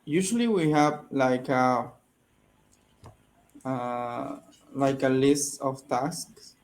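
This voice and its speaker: A Mexican man with a Mexican Spanish accent, a native Spanish speaker, answering in halting English as a student on a video call. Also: medium pitch. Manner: halting learner English with pauses and self-corrections. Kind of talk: casual online lesson chat.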